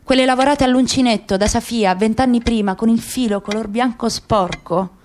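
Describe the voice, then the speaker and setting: A woman's voice: fairly high pitch, normal speed, darkish tone. A woman gives a dramatic literary reading as narrator in a radio play. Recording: clear background noise with clicks.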